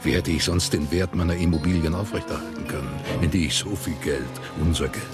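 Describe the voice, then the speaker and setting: A man as a very deep, smug old tycoon. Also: steady tone, over music, lightly acted. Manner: self-satisfied, measured. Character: proud, cynical older father, shrewd property tycoon. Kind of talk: German radio play acting.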